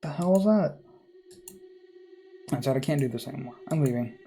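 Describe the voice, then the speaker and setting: A man's voice: mid-range voice, lively intonation, moderate pace, warm tone, faint music behind, close to an everyday voice. A man voicing a jittery, superstitious real-estate agent in a horror game, muttering to himself in exasperation. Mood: fearful, fed up.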